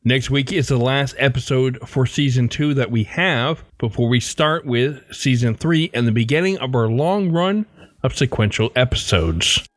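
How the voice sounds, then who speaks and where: A man's voice: mid-range voice, lively intonation, moderate pace, no room sound, studio quality. A man reading a scripted podcast outro as host.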